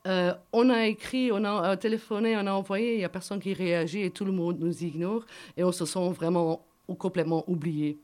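A woman's voice: on the low side, fairly even intonation, fairly quick, a little mellow. A woman whose first language is German, speaking French as a guest and prisoner's wife, often searching for words and repeating herself. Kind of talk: earnest testimony.

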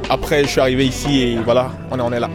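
A man's voice: medium pitch, little pitch movement, very fast, over loud music. A man from Cameroon, a singer, giving short, plain interview answers with fillers.

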